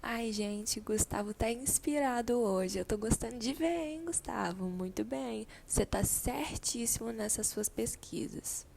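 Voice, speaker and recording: Brazilian female teacher played by an amateur actress for radio play: kindly, instructive, gravelly edge, slight noise behind. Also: a little high, unhurried, few pauses, a little mellow. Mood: pleased, firm. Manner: didactic, encouraging. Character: female schoolteacher, kindly, guiding a classroom discussion. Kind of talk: scripted amateur radio drama.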